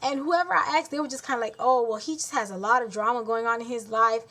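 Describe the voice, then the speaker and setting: A woman's voice: on the high side, fast, pushed and bright. A woman in her twenties telling a story to camera in a blunt, exasperated way, acting out what others said to her. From Haitian heritage. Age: 20s. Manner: blunt, exasperated, talks with her hands in quotes. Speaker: vlogger. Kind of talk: casual storytelling vlog.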